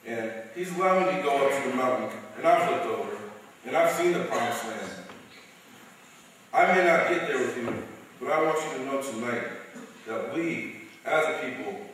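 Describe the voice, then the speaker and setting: A man's voice: mid-range voice, animated, normal speed, a bit bright, natural voice. Young man as solemn preacher in echoing chapel. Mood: earnest, resolute. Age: young adult. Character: solemn male civil rights preacher giving a speech. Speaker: student reader. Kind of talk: dramatic reading of sermons. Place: large school chapel. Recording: echoey hall, distant mic, faint noise.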